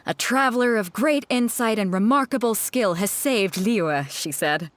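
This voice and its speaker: A woman's voice: mid-range voice, animated, a little slow, a little hiss or hum, lightly acted. A woman voicing a confident adult ship captain in a video game, talking in a bold, wry and teasing way.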